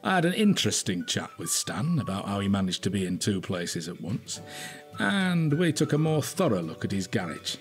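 Shrewd British detective husband, older, deep-ish animated delivery, blunt and conversational, for audio drama. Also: male voice, moderate pace, soft background music. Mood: quietly pleased. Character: shrewd, gruffly affectionate older male detective inspector, a husband. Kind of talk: naturalistic radio drama acting.

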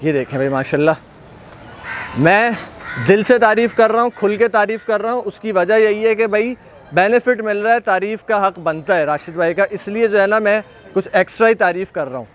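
A man's voice: sits high, brisk, a little hiss or hum. Enthusiastic man touring a goat pen. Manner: enthusiastic, repeats praise often. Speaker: livestock video host. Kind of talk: casual on-site walkthrough commentary. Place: outdoor goat pen at a farm.

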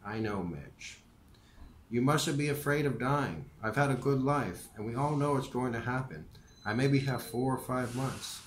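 A man voicing a frail, dying old male professor who speaks gently and calmly in an unhurried way. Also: medium pitch, slight background noise, voice pushed up, natural voice. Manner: gentle, unhurried, softly earnest. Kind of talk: audiobook character voice. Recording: roomy echo with faint ambience.

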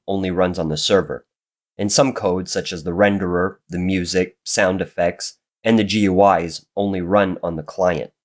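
Deep-voiced man with a nasal cold. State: getting over a cold, raspy and nasal. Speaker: coding tutorial host. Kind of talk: screen-recorded programming tutorial narration.

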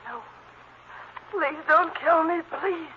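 A woman's voice: very high-pitched, slow pace. An actress playing a terrified woman begging for her life in an old-time radio drama. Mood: terrified pleading. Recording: dull, noisy old radio recording.

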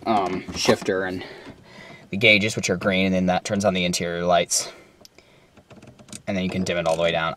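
A man's voice: lowish voice, a little hiss or hum. A young man casually showing off his car in a vlog, rambling with many ums, filmed on a handheld camera in and around his parked car. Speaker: vlogger. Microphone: handheld camera mic.

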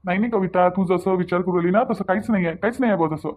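A man's voice: high-pitched, racing, a little mellow. A man voicing a mild, evasive young husband in a Marathi cartoon, soothing and repeating himself in Vidarbha dialect, on narrow muffled audio. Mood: placating, defensive. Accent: Vidarbha (Varhadi) Marathi. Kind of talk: Marathi cartoon story dialogue.

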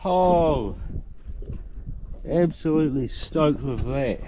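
A man's voice: a little high, lively intonation, slow, background noise. A young man, chatting casually and briefly while fishing outdoors on a small boat. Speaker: fishing companion. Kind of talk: casual chat. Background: loud background music.

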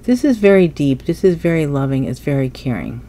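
A woman reading tarot at a table, her voice a little stuffy and set low, with a dark, mellow tone. She muses aloud in a casual way as she lays out cards. A faint hum sits behind her. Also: very animated, fairly quick. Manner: musing, thinking aloud while turning cards. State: stuffy nose. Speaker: tarot reader. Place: indoors at a table, hands only in frame.

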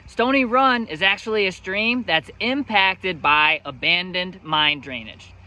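A man in his thirties stands outdoors by a stream and gives a formal, announcer-like introduction. His voice is high and bright, with a forceful edge. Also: a little slow. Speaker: host for a state wildlife agency. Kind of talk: scripted on-camera introduction.

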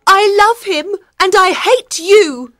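A man voicing a cruel, scheming queen in a high falsetto, sweet-toned toward her lover. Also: lively intonation, slow, bright, forceful tone, dry recording, studio quality, full character voice. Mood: defiant.

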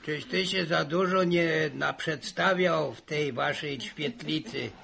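A very creaky old country grandfather with a forceful, bright edge to his voice. He grumbles in a folksy, unhurried way, repeating words as he goes. It is a 1960 radio play with faint noise. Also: fairly high pitch, heavily acted. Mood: mildly chiding. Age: older. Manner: stammering repetitions, folksy sayings. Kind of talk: 1960s radio drama acting.

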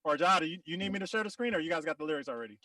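A man's voice: fairly high pitch, expressive, very fast, pushed and bright, dry recording. A man hosting a group video call, chatting casually and rapping. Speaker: host and rapper. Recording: distant video-call sound over music.

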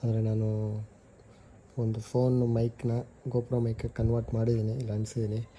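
Young Kannada-accented man, mellow tone, casual chatty reviewer. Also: mid-range voice, completely flat delivery, moderate pace, slight background noise. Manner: chatty, mixes English words into his talk, self-corrects. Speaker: motovlogger reviewing a helmet intercom. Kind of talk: casual product review and unboxing.

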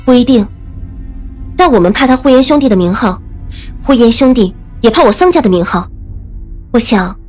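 A high-voiced, decisive young woman general. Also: expressive, normal speed, long pauses, a little mellow, faint music behind. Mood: confident. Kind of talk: period audio drama acting.

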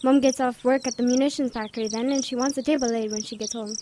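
A plucky wartime schoolboy played by a woman speaks high with an English accent. It is audio drama acting, with clearly audible noise behind the voice. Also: steady tone, quick pace, close to an everyday voice. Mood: chatty, wistful. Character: plucky wartime British child, Nigel's school friend Brian.

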